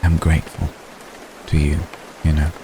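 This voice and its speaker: A man playing a centuries-old, lovestruck vampire gentleman in an ASMR roleplay, speaking formally and haltingly, apologetic and tender. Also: extremely low pitch. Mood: grateful. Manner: halting, formal, soft-spoken, deliberate phrasing. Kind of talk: ASMR roleplay, intimate dramatic monologue. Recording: steady rain noise behind the voice. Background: rain.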